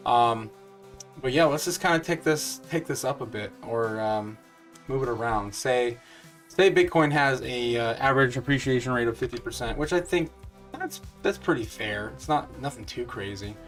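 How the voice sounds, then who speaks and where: A man's voice: medium pitch, normal speed, fairly bright tone, soft background music. A man in his thirties hosting a crypto channel. He walks through a retirement calculator in a loose, rambling way, with many fillers and restarts, and he sounds sure of his views. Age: 30s. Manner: upbeat and certain in his views. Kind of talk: casual talk over a retirement calculator.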